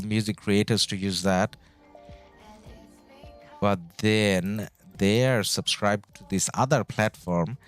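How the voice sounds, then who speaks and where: Bangladeshi-born man streaming games, low voice. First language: Bengali. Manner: chatty, rambling, reads out chat messages. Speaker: game streamer. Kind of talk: casual live-stream commentary. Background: background music.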